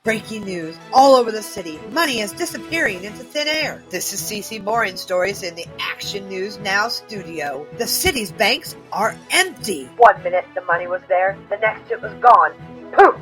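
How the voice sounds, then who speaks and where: A woman's voice: medium pitch, lively intonation, moderate pace, a bit bright, natural voice. A woman voicing a dramatic TV news anchor delivering a bulletin in a fan-dubbed cartoon. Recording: faint music behind.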